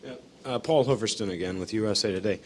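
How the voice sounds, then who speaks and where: Low-voiced hesitant male reporter. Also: fast. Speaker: newspaper reporter. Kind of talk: asking a question at a news conference. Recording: hissy archival 1980s press conference audio.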